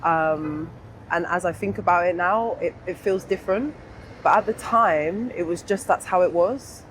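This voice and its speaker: A young adult woman with a southern English accent speaks candidly and informally in an interview, pausing to think as she reflects. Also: fairly low pitch, a bit bright, slight background noise, low-quality recording. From England. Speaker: interview guest.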